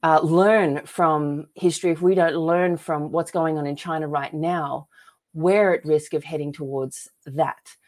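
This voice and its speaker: A woman's voice: low voice, fairly even intonation, unhurried, darkish tone. A woman with an Australian accent, speaking earnestly and emphatically as a guest telling personal stories in a conversational interview. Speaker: podcast guest, author and filmmaker.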